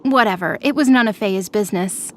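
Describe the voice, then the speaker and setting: A woman's voice: mid-range voice, a little fast, a little hiss or hum. A woman narrator reading scripted, dramatic fiction for an audio drama.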